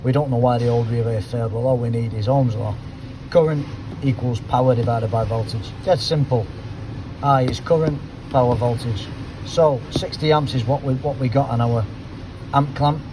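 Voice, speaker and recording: A middle-aged man, a car mechanic, talking casually while he works through a fault, his voice hoarse and fading, with his phrases trailing off quietly. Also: mid-range voice, steady tone, normal speed. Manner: chatty, thinks aloud, trails off quietly at the end of phrases. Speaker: car mechanic, auto electrician. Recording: noisy workshop with vehicle sounds.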